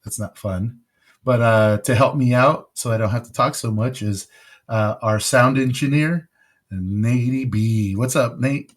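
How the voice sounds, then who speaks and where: A man's voice: medium pitch, a little slow. A man hosting a casual podcast, recovering from pneumonia, rambling and joking with plenty of fillers. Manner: rambling, jokey, many fillers. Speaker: podcast host.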